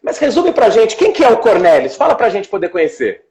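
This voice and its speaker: A man with a high-pitched voice hosts a casual online conversation. His delivery is effusive and colloquial, addressing guest and viewers in an informal, conversational way. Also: wildly swooping pitch, fairly quick, darkish tone. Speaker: live show host. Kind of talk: casual interview chat.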